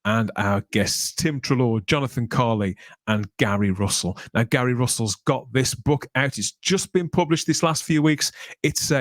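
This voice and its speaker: A man's voice: mid-range voice, no room sound. A man presenting a podcast in a chatty, wordy, colloquial way, like radio patter. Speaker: podcast host.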